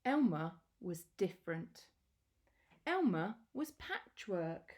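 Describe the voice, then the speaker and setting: A woman reading a children's picture book aloud in a deliberate, expressive storytelling manner with pauses. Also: on the low side.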